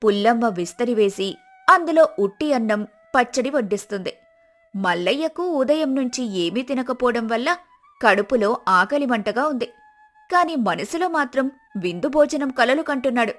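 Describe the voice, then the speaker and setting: A woman reading a folk story as narrator, steady and storytelling. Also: a little high, moderate pace, faint music behind.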